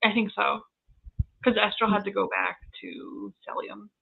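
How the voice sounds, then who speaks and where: A woman's voice: mid-range voice, level tone, measured pace, vocal fry, slightly rough, narrow, tinny audio. A woman co-hosting a casual fan chat about fantasy novels, heard through thin call audio. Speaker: co-host of a book discussion.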